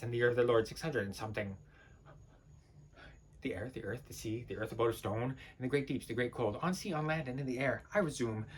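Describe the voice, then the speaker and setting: An actor as a wretched servant in an absurdist stage play, delivering a broken pseudo-scholarly tirade. The voice sits at a medium-to-high speaking pitch for theatre, declamatory and unstable. Phrases stammer and repeat, swinging between murmur and cry. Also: fairly bright tone, breathy edge, heavily acted.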